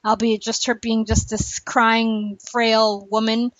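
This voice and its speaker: A woman hosting a casual podcast chat, talking fast and laughing often between points. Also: a little high, no room sound. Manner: chatty, laughs often, animated asides. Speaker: podcast host. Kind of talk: casual chat about a TV show. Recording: dull lo-fi, dry.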